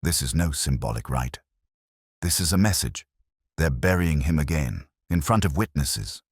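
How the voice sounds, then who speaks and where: A grim detective as a man reads him in an audiobook with a very deep voice and a dry, close sound. He is incisive and commanding, with expressive pitch. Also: moderate pace, slightly rough, dry recording, exaggerated voice. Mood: grim, urgent. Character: grim, shrewd male detective, coolly commanding. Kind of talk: audiobook character dialogue.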